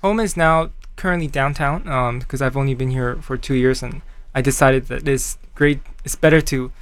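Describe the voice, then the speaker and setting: Brief: young male musician guest, modest, halting answers, mid voice. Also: medium pitch, clear, resonant tone, dry recording. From Canada. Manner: modest, hesitant with many fillers. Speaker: cellist guest. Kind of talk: casual interview answers.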